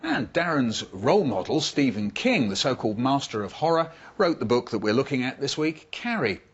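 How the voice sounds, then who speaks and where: A man's voice: medium pitch, big pitch swings, moderate pace, muffled recording, music in the background. A man presenting a books programme, moving between scripted narration and hosting a discussion.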